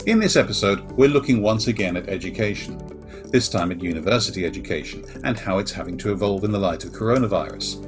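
A man's voice: lowish voice, animated, quick pace, over music. A man hosting a technology podcast, introducing the show and his guest with dry, wry asides. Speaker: podcast host. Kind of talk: podcast intro and interview questions.

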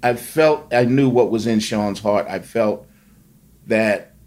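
A man's voice: lowish voice, takes it slowly, darkish tone. An older man, a veteran stage actor, talking reflectively in an interview.

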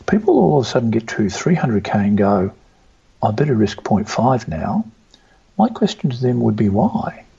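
A man with a gravelly, husky, low voice talks trading in a measured, deliberate way. His tone is dark and relaxed, and the recording sounds dull and lo-fi. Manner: measured, deliberate, small restarts. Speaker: trading mentor. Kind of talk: interview answer, explaining.

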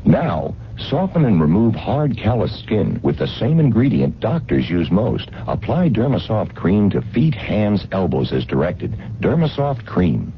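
Lowish, animated male commercial announcer. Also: a little slow, hardly any pauses. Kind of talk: scripted radio advertisement. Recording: muffled, noisy vintage radio broadcast.

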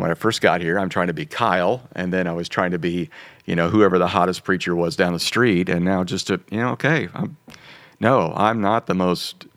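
A man in his fifties, a pastor, talking in a relaxed, joking, self-deprecating way. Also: low voice, no echo. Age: 50s. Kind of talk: casual podcast conversation.

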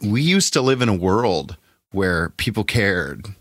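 A man's voice: fairly low pitch, big pitch swings, a little slow, no room sound, clean studio sound. A man co-hosting a podcast, joking and chatting casually. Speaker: podcast co-host. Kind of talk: casual chat.

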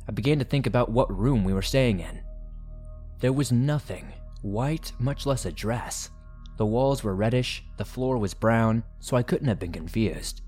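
A man reading first-person horror stories as a narrator, in a measured, deliberate storytelling manner. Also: fairly low pitch, a little mellow. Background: background music.

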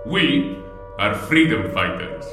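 An actor playing a theatrical, self-important male guerrilla leader in an audio drama, making grandiose, deliberate pronouncements. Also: medium pitch, big pitch swings. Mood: proud, commanding. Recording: echoey room with music behind.

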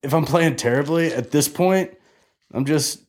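A man's voice: on the high side, moderate pace, darkish tone. A man in his twenties hosting a podcast, telling a long story in a loose, joking way and swearing freely. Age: 20s. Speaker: podcast host. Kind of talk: casual chat.

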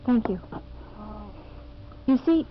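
An actress playing a polite, earnest young homesteader with a proper, sincere manner, in 1950s radio drama style. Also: female voice, high-pitched, expressive, slow. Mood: earnest, grateful. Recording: dull, hissy 1950s radio broadcast recording.

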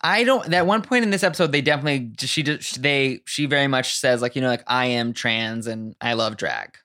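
Woman co-host, very low pitch, chatty and meandering, a little tipsy. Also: fairly bright tone. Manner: chatty, thinks aloud with restarts, quick bursts. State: tipsy from drinking. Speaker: podcast co-host. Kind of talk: casual chat.

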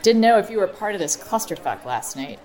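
A woman's voice: mid-range voice, animated, brisk, close to an everyday voice. A woman playing a brash, slangy young local cop in an audio drama, with a casual, teasing manner. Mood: teasing, concerned. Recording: loud music under the voice. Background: loud music.

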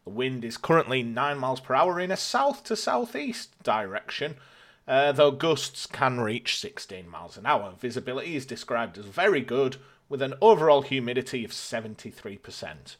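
A man's voice: mid-range voice, very animated, normal speed. A British man hosting a podcast in casual chat, with a dry, jokey delivery. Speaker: podcast host.

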